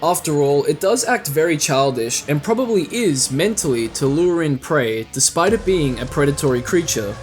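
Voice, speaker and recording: Man, slightly high voice, scripted explainer narration, casual asides, music underneath. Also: lively intonation, moderate pace, hardly any pauses. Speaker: video essay narrator. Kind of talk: scripted narration, fan commentary on monsters.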